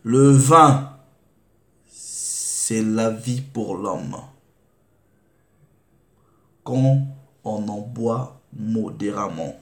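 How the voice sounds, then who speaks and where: A man preaching, reading scripture slowly and deliberately and asking rhetorical questions. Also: mid-range voice. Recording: echoey room.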